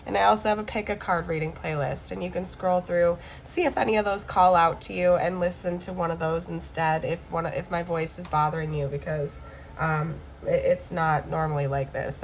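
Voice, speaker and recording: A woman recovering from the flu, with a hoarse, cracking voice, giving a chatty tarot card reading to camera and checking in often with "okay?". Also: lowish voice, a little hiss or hum.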